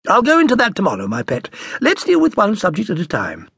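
A bold and languorous mother played by a male narrator holds forth to her little boy in an audiobook. The pitch is lifted high and swings widely. She sounds opinionated and sure of herself. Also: huge pitch swings, fast, gravelly, fairly bright tone, breathy edge, no echo, heavily acted. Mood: breezily didactic.

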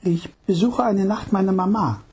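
A man's voice: sits high, a little slow, a little mellow, breathy edge, voice pushed up, heavily acted. A man voicing a young wife who is insistent and hesitant by turns, in an audiobook reading. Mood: coaxing. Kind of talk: audiobook narration.

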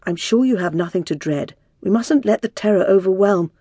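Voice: mid-range voice, moderate pace, dark tone, no echo, lightly acted. An audiobook narrator voicing a flustered, exasperated male hospital doctor with a formal way of speaking. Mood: strained, placating. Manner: formal, raises voice to plead for order.

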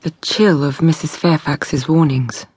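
An actress playing a young governess who narrates her own story with measured intensity in a radio drama. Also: sits low, steady tone, a little mellow, slightly rough, dry recording. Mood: intimate, intense. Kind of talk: scripted first-person radio drama narration. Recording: prominent music under the narration.

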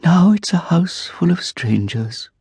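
A man voicing a fussy, genteel old woman landlady who pries sweetly, with drawn-out sing-song lines. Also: a little high, big pitch swings, a little mellow, husky, no room sound, voice pushed up, exaggerated voice. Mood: wistful prying. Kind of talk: audiobook character voice.

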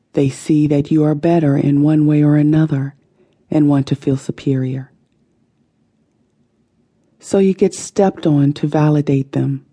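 A woman narrates a guided meditation in a very dark, relaxed voice with a breathy, husky grain. She speaks slowly and deliberately, soothing the listener and letting each phrase trail off softly. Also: sits low. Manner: slow, soothing, deliberate delivery with soft phrase endings. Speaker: audiobook narrator.

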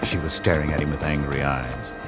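A man's voice: very deep voice, level tone, a little fast. A man reading scripted, dramatic narration for a western audiobook. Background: music and horse hoofbeats.

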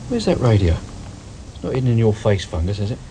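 An actor playing a brash, scruffy, wisecracking detective inspector with an English accent in a radio crime drama, blunt and colloquial. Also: male voice, lowish voice, very animated, quick pace, dark tone, a little hiss or hum. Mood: brisk, irritable. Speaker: police detective inspector character.

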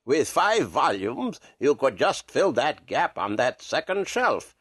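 A man voicing a wizened old book collector with a strange croaking voice, obsequious and hesitant in an old-fashioned polite way, in a storybook reading. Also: mid-range voice, sing-song, slow pace, fairly bright tone, dry recording, studio quality, pitched below the performer's own voice, exaggerated voice. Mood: wheedling, apologetic. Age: older.

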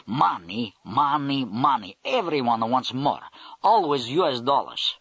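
A man's voice: medium pitch, very animated, unhurried, a bit bright, breathy edge, no room sound, highs cut off, exaggerated voice. A man putting on a blunt Russian major. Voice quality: broken English, clipped. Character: Russian army major, big, blunt, indignant.

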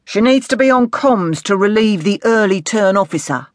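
A man plays a grumpy male police sergeant in an audiobook. He is curt, gruff and condescending, talking down to juniors, and the sound is close and dry. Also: high voice, animated, moderate pace, dry recording, voice pushed up. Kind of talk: audiobook character dialogue.

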